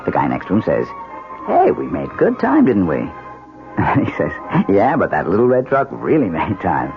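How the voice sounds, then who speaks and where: Joking smooth-talking husband, swingy low voice, old radio drama, muffled w music behind. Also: male voice, wildly swooping pitch, unhurried, long pauses, full character voice. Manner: glib, jocular. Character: breezy, evasive, joking newlywed husband. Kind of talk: 1970s radio drama acting.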